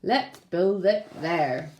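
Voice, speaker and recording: A woman's voice: lowish voice, expressive, extremely slow, a little mellow, slight background noise. A woman voicing a hopeful, rousing male chimpanzee traveller from a children's storybook, earnest and speech-making, in a roomy space. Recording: roomy, echoing room sound.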